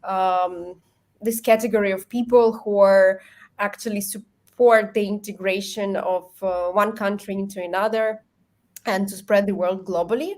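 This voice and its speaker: A woman with a Ukrainian accent gives considered answers as an interview guest. She speaks English in a mid-pitched voice, pausing now and then with soft ums. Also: fairly even intonation. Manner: measured, thoughtful, frequent ums.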